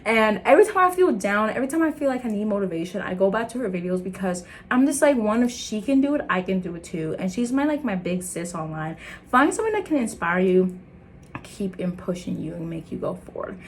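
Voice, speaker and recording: A young woman with an American accent, born in Liberia and raised in the States, talking to camera in an earnest, animated motivational vlog. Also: fairly quick, slight background noise. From Liberia, raised in the United States. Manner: earnest and animated, laughing, jumping into high excited bits. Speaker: content creator. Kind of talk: casual motivational vlog talk.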